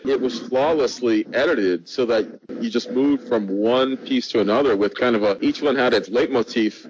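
A man's voice: medium pitch, moderate pace, a little mellow. A man discussing in a casual, analytical and enthusiastic way as a podcast guest. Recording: muffled audio with faint noise.

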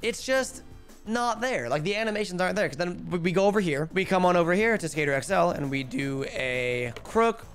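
A young man in his twenties streaming a skateboarding game, chatty and energetic, calling out his tricks, a bit hoarse. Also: high voice, a little fast, soft background music. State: losing his voice. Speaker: gaming streamer. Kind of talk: live gameplay commentary. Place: home streaming room. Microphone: headset.

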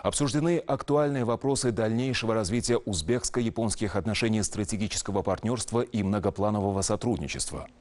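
A man in his thirties reading scripted news as an anchor in a formal, official, measured manner. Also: lowish voice, a little mellow. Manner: measured, official, clearly enunciated delivery. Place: television news studio.

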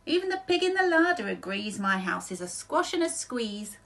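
A woman voicing a flustered, grumbling little old lady from a storybook, pleading and exclaiming with drawn-out words. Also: on the high side, big pitch swings, vocal fry, a bit bright, exaggerated voice. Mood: distressed.

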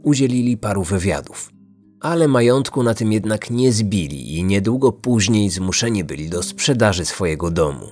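A man's voice: fairly low pitch, lively intonation, moderate pace, darkish tone, soft background music. A man reading scripted narration as the host of a true crime podcast.

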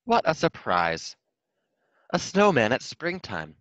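A man's voice: mid-range voice, huge pitch swings, takes it slowly, fairly bright tone, dry recording, muffled recording, voice pushed up, full character voice. Guy doing a muddled polite hedgehog from a kids book, earnest, calls out. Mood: startled. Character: muddled, polite, near-sighted male hedgehog.

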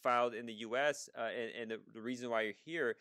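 A man's voice: medium pitch, fairly even intonation, fast talker, a bit bright. A man hosting an interview podcast as an immigration attorney, talking casually with many fillers and restarts. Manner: conversational, with frequent fillers and restarts.

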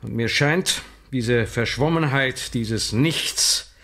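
An actor playing a grave, worried scientist in an audio drama, speaking deliberately. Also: male voice, mid-range voice, fairly bright tone.

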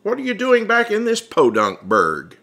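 A man's voice: sits high, wildly swooping pitch, normal speed, sharp tone, pitched above the performer's own voice, full character voice. A man voicing a hearty, friendly working-class man who talks in a folksy, eager way in an audiobook. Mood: excited. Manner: folksy, eager, plain-spoken. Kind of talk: audiobook character dialogue.

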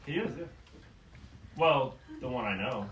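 A man in his late thirties, an audience member answering a comedian, in a calm, matter-of-fact, understated way. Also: medium pitch, unhurried, very noisy. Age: 30s. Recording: distant voice in a roomy club with crowd.

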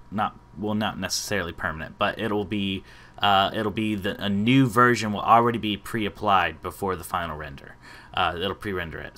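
Man, bright tone, lowish pitch, informal tutorial host, step-by-step explanation.

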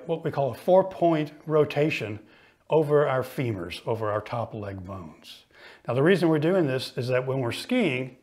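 A middle-aged man coaching ski fitness, explaining each exercise calmly while he demonstrates it in a studio. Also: medium pitch. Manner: patient, explanatory, talks while moving.

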